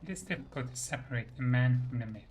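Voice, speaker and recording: A man's voice: mid-range voice, fast talker, slight background noise. A man reading fantasy game dialogue choices and lore entries aloud as scripted narration. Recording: faint game music behind the voice.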